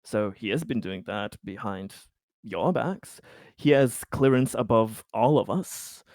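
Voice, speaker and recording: A man playing an urgent colony insider in a tabletop roleplay, speaking insistently and haltingly as he warns of danger. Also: on the low side, lively intonation, darkish tone, no echo, studio quality. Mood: grave warning. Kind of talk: improvised in-character roleplay.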